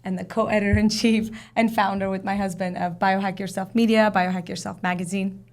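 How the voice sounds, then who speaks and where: A woman from Armenia being interviewed as a guest, telling her story in an expressive way. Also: medium pitch, fairly quick. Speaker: guest, entrepreneur. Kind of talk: casual interview talk.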